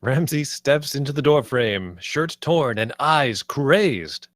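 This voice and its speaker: A man's voice: mid-range voice, lively intonation, slow pace, a bit bright, no room sound, clean studio sound. A man reading scripted stage directions as the narrator of a screenplay table read.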